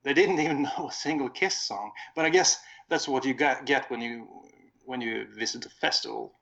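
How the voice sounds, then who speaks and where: A man with a Swedish accent chats casually on a podcast, his voice gravelly with fry. He rambles and corrects himself as he recalls things. The audio sounds dull and lo-fi. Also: medium pitch, moderate pace, bright, forceful tone. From Sweden. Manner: rambling, self-correcting recollection. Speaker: podcast co-host. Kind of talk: casual chat.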